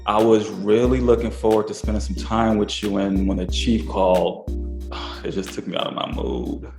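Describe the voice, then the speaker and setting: A man's voice: fairly low pitch, normal speed, darkish tone, roomy sound, soft background music, lightly acted. A man acting in an audio drama as an evasive, defensive boyfriend who is reluctant to commit.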